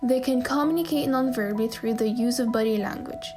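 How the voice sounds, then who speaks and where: A woman reading a scripted voice-over narration in an even, read-out way. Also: on the high side, fairly quick, music in the background.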